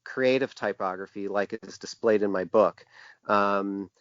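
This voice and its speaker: Low-voiced man, halting and thoughtful. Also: no room sound. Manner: thoughtful, halting, repeats words as thinking. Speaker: interview guest, publisher and graphic designer. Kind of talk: conversational interview answers. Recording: dull, lo-fi, dry sound.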